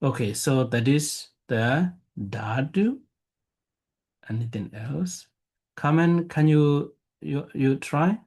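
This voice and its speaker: A man whose first language is Burmese, a monk teaching a lecture, talking haltingly with many fillers and restarts. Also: medium pitch, animated, a little mellow, husky. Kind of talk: Dharma lecture with questions.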